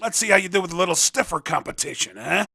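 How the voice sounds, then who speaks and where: A cocky hustler from a racing video game, recruiting with slangy, coaxing patter. His voice is raspy and a little high, and it jumps around in pitch as he sells. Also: lively intonation, fast talker, pushed and bright, heavily acted. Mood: cocky, encouraging. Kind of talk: video game character dialogue.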